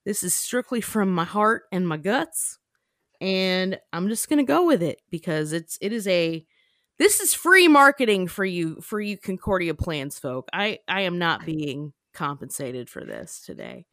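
A woman's voice: lowish voice, very animated, measured pace, a bit bright, no echo, clean studio sound. A woman co-hosting a casual podcast, chatty, laughing often and using many fillers. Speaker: podcast co-host. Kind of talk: casual chat.

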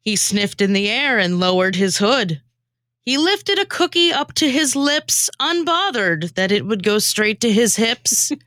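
A woman's voice: fairly high pitch, sing-song, a little slow, fairly bright tone, no echo, studio quality. A woman performing scripted comic radio theatre, narrating in a theatrical storytelling manner. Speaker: former co-host and narrator.

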